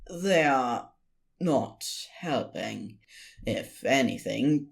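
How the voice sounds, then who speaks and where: Fiction narrator: unhurried, deliberate read with highly varied intonation. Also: darkish tone. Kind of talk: scripted story narration.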